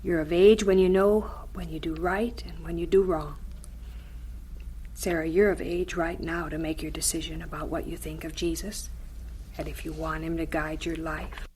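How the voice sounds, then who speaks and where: A woman's voice: low-pitched, fairly even intonation, normal speed, darkish tone, close to an everyday voice, rough recording. Woman as a firm, earnest mother, faint hiss. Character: stern, caring, devout mother of a young girl. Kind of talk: radio drama acting.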